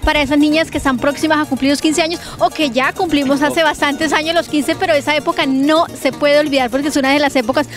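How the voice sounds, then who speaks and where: A young Colombian woman presents a chatty TV interview in a park. Her voice is distinctly high and she speaks quickly into a handheld microphone. There is some faint music behind her. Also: steady tone. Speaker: television host. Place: outdoors in a park.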